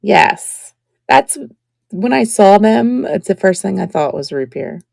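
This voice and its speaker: Slow, gravelly-voiced woman host. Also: medium pitch, lively intonation, no room sound, clean studio sound. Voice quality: scratchy. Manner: slow, chatty, drops to soft whispers while showing pieces. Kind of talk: casual live-stream selling chat.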